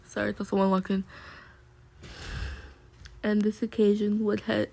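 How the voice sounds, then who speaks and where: A woman's voice: mid-range voice, monotone, moderate pace, vocal fry, dark tone. A young woman reading fan fiction aloud, stumbling over words and dissolving into flustered giggles. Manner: giggly, flustered, breaking off to react. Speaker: fanfic reader.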